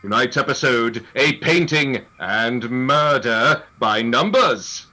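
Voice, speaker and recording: Theatrical slow radio mystery announcer played by a man. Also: medium pitch, animated, over music. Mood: grandiose, ominous. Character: grandiose old-time radio announcer and mystery narrator. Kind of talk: old-time radio play announcing. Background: musical underscore.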